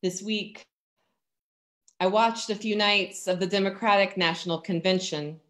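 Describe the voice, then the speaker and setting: A woman in her thirties with an American accent gives a sermon in measured, deliberate phrases with emphatic pauses, over a video call from a room at home. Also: mid-range voice, fairly even intonation, fairly bright tone. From Virginia, United States. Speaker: pastor. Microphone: computer mic on a video call.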